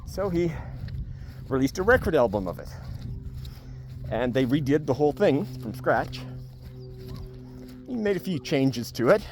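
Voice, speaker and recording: Man rambling casually, lively swinging pitch. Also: a little slow, long pauses, faint music behind. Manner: rambling, wry, jokey. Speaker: video blogger giving a book review. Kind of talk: spontaneous casual talk to camera. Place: on a farm among cows.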